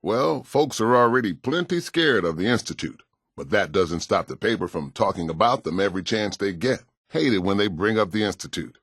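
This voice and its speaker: An actor playing a kindly, world-weary pastor in a video game, with an American accent and a gentle, folksy, plain-spoken way of talking. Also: male voice, on the low side, expressive, moderate pace, husky, no echo, clean studio sound, full character voice. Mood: weary, resigned.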